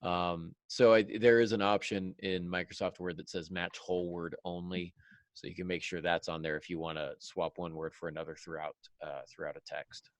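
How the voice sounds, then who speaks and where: A man's voice: low-pitched, level tone, moderate pace, no echo. A man talking casually as a podcast host, with a self-deprecating, joking manner. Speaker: podcast host and fiction writer. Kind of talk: casual podcast discussion of writing tips.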